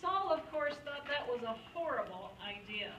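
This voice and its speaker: A woman's voice: a little high, animated, normal speed, a bit bright, highs cut off. A woman narrating a puppet show in a storytelling style. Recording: echoey room, distant mic, clear noise.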